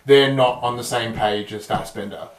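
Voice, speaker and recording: A man's voice: mid-range voice, steady tone, normal speed. An Australian man hosting a podcast, chatting casually as he reviews films. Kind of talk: casual chat. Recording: roomy echo, distant from the mic.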